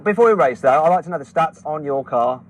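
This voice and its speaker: A man with an English Midlands accent hosting a car vlog outdoors on a runway, excitable and laughing often. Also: a little high, fast talker. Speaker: car reviewer and host.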